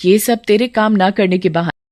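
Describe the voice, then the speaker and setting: A woman's voice: medium pitch, moderate pace, a little mellow, lightly acted. A woman voicing a scolding, no-nonsense village mother in a cartoon story, nagging and exasperated. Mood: stern scolding.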